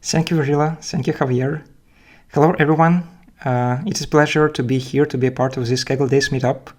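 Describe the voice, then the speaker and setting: Halting man with a Belarusian accent. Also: mid-range voice, steady tone. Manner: halting, repeats words. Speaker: guest speaker, data scientist. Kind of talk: technical presentation and interview answers.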